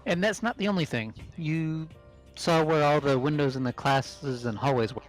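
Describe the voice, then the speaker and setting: A man's voice: on the high side, normal speed, soft background music, natural voice, poor audio. A man playing an agitated, baffled teenage male student in an amateur game dub. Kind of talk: amateur game character acting.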